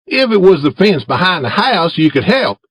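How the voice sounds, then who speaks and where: A man's voice: high-pitched, sing-song, normal speed, a bit bright, husky, no room sound, pitched above the performer's own voice, full character voice. A man voicing Tom, a sly coaxing boy.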